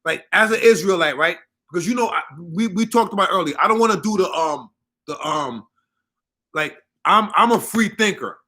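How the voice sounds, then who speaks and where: Bright-voiced Haitian-accented podcast host. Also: male voice, high-pitched, normal speed. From Haitian family. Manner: animated, emphatic, repeats himself. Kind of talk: casual live podcast chat.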